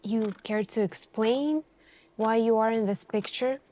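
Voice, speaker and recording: A woman playing a calm, insistent police detective in an ASMR interrogation roleplay, speaking in a hushed, deliberate way with pauses between short phrases. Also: on the high side, natural voice. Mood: pointedly suspicious.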